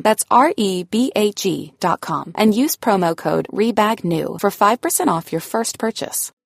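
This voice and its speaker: Creaky-voiced woman reading an advert. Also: mid-range voice, dry recording, studio quality. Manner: polished, promotional. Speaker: advertisement announcer. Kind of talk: scripted ad read.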